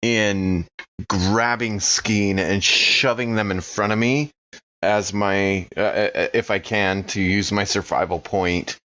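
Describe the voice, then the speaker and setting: A man's voice: lowish voice, steady tone, slow, bright, forceful tone. A man chatting casually as a player in a tabletop game, recorded with slightly dull sound.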